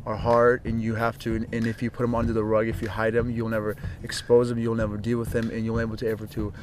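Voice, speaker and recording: A man's voice: lowish voice, completely flat delivery, quick pace, darkish tone, a little hiss or hum. A man from Ukraine, interviewed as a model, speaking earnestly in repeated short declarations. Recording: music playing under the voice.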